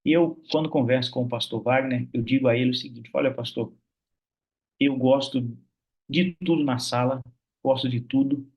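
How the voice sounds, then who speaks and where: A man's voice: medium pitch, normal speed, slightly rough, muffled recording. Chatty Brazilian man hosting a church call. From Minas Gerais, Brazil. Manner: warm, chatty, many fillers like "né". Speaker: host of an online prayer meeting. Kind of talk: casual church meeting hosting. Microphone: video call.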